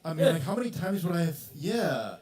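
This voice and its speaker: A man's voice: fairly high pitch, big pitch swings, fairly quick, darkish tone. A man co-hosting an open mic, chiming in with laid-back, rambling banter. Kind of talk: casual banter. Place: coffee shop stage. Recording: roomy echoing venue mic.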